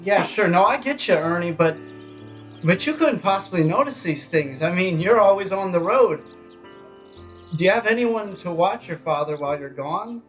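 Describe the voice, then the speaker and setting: A man's voice: sits high, lively intonation, normal speed, faint music behind. An actor playing an earnest, hesitant young country man in a period radio drama, recorded with slightly dull live sound. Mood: concerned, hesitant.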